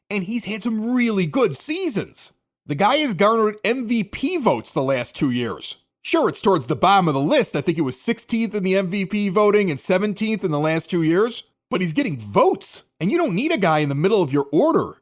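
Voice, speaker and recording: American guy doing sports podcast commentary, kinda high voice, opinionated and emphatic, just talking it through. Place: home basement studio.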